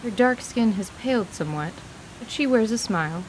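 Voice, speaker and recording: Measured woman narrator, faint hiss behind. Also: fairly high pitch, expressive, a little mellow, poor audio. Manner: measured, literary storytelling. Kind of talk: scripted fantasy narration.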